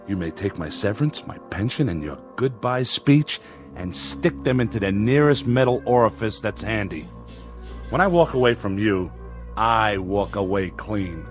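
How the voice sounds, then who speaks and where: An actor playing a bitter, principled long-serving employee in a radio drama, deliberate and dryly scornful. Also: male voice, on the low side, animated, faint music behind, close to an everyday voice. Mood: indignant.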